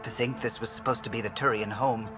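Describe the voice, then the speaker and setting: Man playing an observant explorer over clear music. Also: mid-range voice, steady tone, fast, lightly acted. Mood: awed. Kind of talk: video game voice acting.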